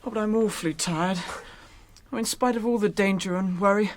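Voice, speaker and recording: A man's voice: high-pitched, brisk, a little hiss or hum, lightly acted. Boyish, plaintive young hobbit, acted by a man. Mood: weary, grumbling. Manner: chatty, plaintive. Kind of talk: classic radio drama acting.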